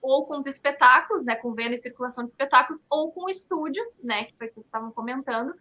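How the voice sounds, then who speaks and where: A woman with a high, very bright voice and a southern Brazilian accent talks as an interview guest. She explains things earnestly and often checks in with little tag questions. The sound is slightly dull and lo-fi. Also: rapid-fire. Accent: southern Brazilian, Rio Grande do Sul. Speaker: dance artist and studio owner, interview guest. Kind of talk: conversational interview answer.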